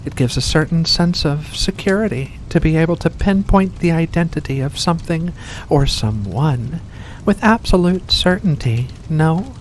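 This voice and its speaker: Woman as a slow, silky, predatory vampire. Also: sits low, a little mellow, slight background noise, poor audio. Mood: coaxing, curious. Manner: slow, silky, coaxing, genteel endearments with veiled menace. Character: ancient predatory vampire with furry paws, seductive, faux-kindly. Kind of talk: ASMR roleplay addressed to the listener.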